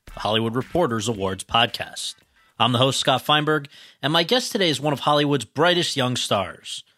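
A man's voice: medium pitch, bright, forceful tone, no room sound, clean studio sound. A man hosting an awards podcast, reading a formal introduction and then asking interview questions. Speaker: podcast host.

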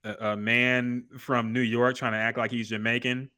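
A man's voice: medium pitch, level tone, moderate pace, bright, forceful tone, smooth. A man hosting a casual chat, ranting bluntly and profanely about an old rap verse. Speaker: podcast host.

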